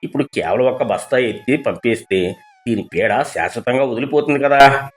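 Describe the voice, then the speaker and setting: A man's voice: medium pitch, normal speed, close to an everyday voice. A man playing a pompous, self-important village headman in a moral story, exclamatory and grudging, over music. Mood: scornful grumbling.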